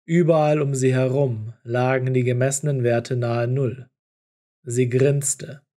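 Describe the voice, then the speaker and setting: A male narrator reads a scripted story. His voice is mid-pitched with a warm, mellow tone. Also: fairly even intonation, unhurried.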